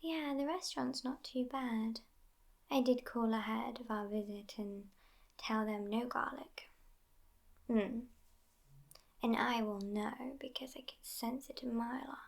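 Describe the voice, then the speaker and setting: A woman acts out a haughty, sassy vampire girlfriend who has lived for centuries yet sounds youthful. She talks slowly and languidly in a hushed, near-whispered ASMR style. Her female voice sits a little high and often slips into fry. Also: fairly even intonation. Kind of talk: soft-spoken ASMR roleplay.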